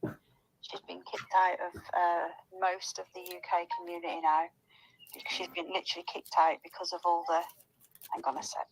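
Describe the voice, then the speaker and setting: A woman's voice: fairly low pitch, little pitch movement, fairly quick, slight background noise, rough recording. A woman chatting casually and gossipily in a rambling, conversational way.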